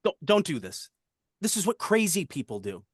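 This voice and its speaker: Animated, pleading captive played by an actor. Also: mid-range voice, normal speed, breathy edge, no room sound, clean studio sound. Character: desperate, tied-up captive man pleading under threat. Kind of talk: screenplay table read acting.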